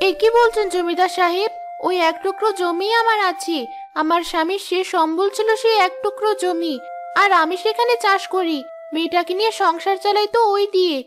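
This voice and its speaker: Lady doing a worried village mom in a cartoon, super high voice, caring, music clearly behind. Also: a little fast. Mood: earnest, anxious. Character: hardworking, worried village wife and mother. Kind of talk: Bengali fairy-tale cartoon voice acting.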